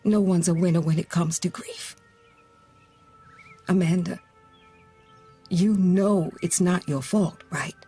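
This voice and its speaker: An actress playing a gentle, grieving middle-aged mother who offers consolation in a naturalistic audio drama. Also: lowish voice, fairly even intonation, slightly rough, faint music behind. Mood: earnest, consoling. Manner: slow, gentle, earnest delivery with pauses. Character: grieving, consoling mother who lost her teenage daughter.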